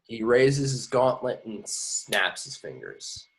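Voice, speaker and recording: A man's voice: mid-range voice, very animated, slow, gravelly, very noisy. A man reading screenplay stage directions aloud at an informal table read, occasionally stumbling over words. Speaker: action description reader.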